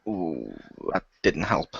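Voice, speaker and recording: Lively man narrating his role-playing moves. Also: low-pitched, slow pace, creaky, husky, no room sound, muffled recording. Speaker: player in a role-playing game. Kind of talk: improvised third-person narration with in-character jokes.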